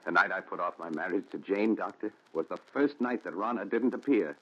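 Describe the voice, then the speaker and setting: An actor playing a haunted, fearful man in a 1940s radio thriller, with an intense, halting, dramatic delivery. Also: deep voice, lightly acted. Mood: brooding, fearful. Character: haunted, fearful man confessing terrors to his doctor. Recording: muffled, noisy 1940s radio recording.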